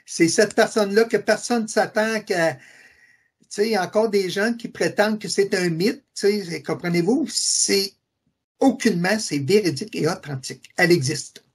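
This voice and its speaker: Husky-voiced Québécois man, fervent testimony. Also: sits high, moderate pace. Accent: Québécois French. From Quebec City, Canada. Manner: fervent, repeats affirmations, many hesitations. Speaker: guest. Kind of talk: earnest interview testimony.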